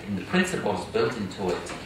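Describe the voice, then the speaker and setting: Male panelist, low voice, halting with fillers, distant mic in an echoey noisy hall. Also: very animated. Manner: hesitant, many fillers and restarts. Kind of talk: conference panel discussion. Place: large conference hall.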